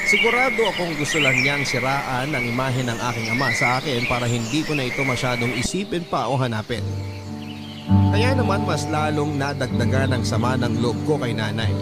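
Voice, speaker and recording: A man's voice: mid-range voice, close to an everyday voice. A man playing an aggrieved young letter-sender, telling his own story to a radio host in a confiding, dramatized narration. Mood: resentful confiding. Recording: loud music behind.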